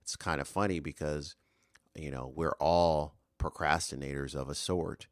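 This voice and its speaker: A man's voice: rumbling low, steady tone, normal speed, dry recording, studio quality. A man in his thirties hosting a solo motivational podcast, earnest and rambling with fillers and restarts. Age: 30s. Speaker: podcast host.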